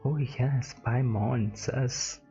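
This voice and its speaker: Slow-paced woman giving casual gameplay commentary. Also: a bass voice, steady tone, muffled recording. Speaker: gaming streamer. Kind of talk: casual let's-play commentary. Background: game music.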